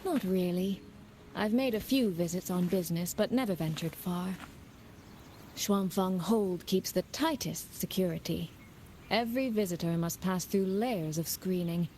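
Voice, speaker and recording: An actress voicing a composed, shrewd woman in a video game, speaking in a measured, lightly playful way. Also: lowish voice, slight background noise, natural voice. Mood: calm, observant. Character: composed, shrewd adult woman, well-prepared former trader. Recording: loud background music. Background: game music.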